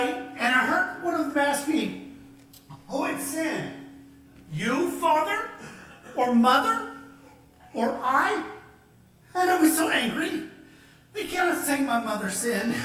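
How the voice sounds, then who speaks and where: An ecstatic, tearful young man who has just seen the world for the first time, as a woman acts him out in an old radio play. The voice sits very high and moves at an unhurried pace. The sound is cavernous and heard from well away from the mic. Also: a bit bright, full character voice. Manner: breathless, tearful, ecstatic delivery. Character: young man, born blind, newly sighted, joyful and earnest. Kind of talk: old radio drama acting. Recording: echoey, distant old radio recording.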